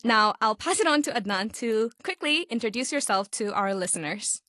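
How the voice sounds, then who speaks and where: A woman's voice: on the high side, lively intonation, moderate pace, pushed and bright, no echo, studio quality. A woman hosting an interview, asking guiding questions in a friendly way. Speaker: host. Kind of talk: hosted interview.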